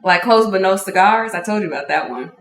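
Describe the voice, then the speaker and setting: A woman from the Chicago suburbs chats as a podcast guest. She is animated and bubbly and laughs often. The room gives her voice a clear echo. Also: medium pitch, fairly quick, slight background noise. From Chicago South Suburbs. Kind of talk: casual chat.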